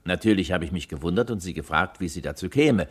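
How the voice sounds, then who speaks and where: A well-to-do husband in a radio crime drama. His low, husky voice swings expressively as he speaks with worried, polite hesitation. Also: animated, quick pace. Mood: earnest, thoughtful. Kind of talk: German radio crime play acting.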